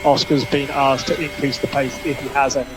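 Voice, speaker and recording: A man working as a race engineer, giving measured, clipped instructions over team radio and repeating key calls. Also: mid-range voice, little pitch movement. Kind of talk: instructional radio messages to a racing driver. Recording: noisy motor racing team radio.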